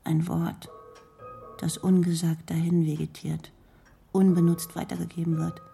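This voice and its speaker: Very breathy, husky, brooding actress. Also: female voice, fairly low pitch, flat delivery, dark tone, soft background music, full character voice. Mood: brooding, melancholy. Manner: fragmented, deliberate phrasing. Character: melancholy, world-weary actress, wry and brooding. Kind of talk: literary radio drama monologue and dialogue.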